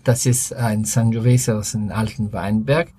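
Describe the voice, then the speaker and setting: A man's voice: lowish voice, fairly even intonation, measured pace, warm tone, breathy edge, dry recording. An Italian man with an Italian accent, a winemaker guest speaking German as a second language, carefully searching for his words outdoors. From Italy. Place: outdoors at a wine estate.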